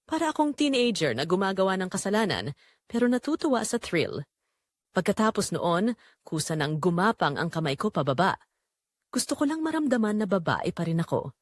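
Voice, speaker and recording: Woman to play a quiet, neglected wife with hidden longing; Filipino accent, confiding dramatic audio-drama narration, very expressive pitch movement, close dry sound. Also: moderate pace, dry recording. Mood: restrained longing. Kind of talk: first-person dramatic audio story narration.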